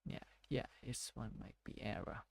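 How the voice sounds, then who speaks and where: A Thai-accented man works slowly through technical notes and reads passages aloud between hesitant asides. His voice has a gravelly edge, and the recording is dry and close, with no room sound. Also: mid-range voice, fairly even intonation, dry recording. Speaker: tech study vlogger. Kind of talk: casual self-study screen walkthrough.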